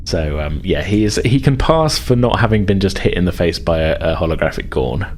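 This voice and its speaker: A man acting as game master, narrating a tabletop game casually in a halting, explanatory way. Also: sits low, animated, a little mellow, a little hiss or hum.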